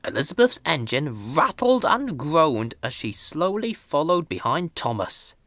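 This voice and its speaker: A woman's voice: low voice, very animated, a little slow, fairly bright tone, dry recording. A woman reading a children's story as a scripted narration. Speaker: storybook reader.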